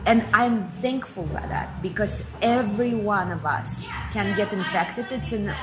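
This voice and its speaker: A woman in her forties from Kazakhstan, a guest giving fluent, emphatic interview answers and repeating phrases for effect. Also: mid-range voice. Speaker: interview guest, business speaker.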